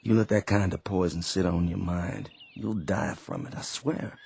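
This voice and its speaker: A soft-spoken veteran in a radio play, low and gravelly, plain folksy talk. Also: male voice, fairly even intonation, normal speed, a little hiss or hum. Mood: concerned. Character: gentle, weary man home from war, folk craftsman. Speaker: actor in a radio drama. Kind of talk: radio drama acting.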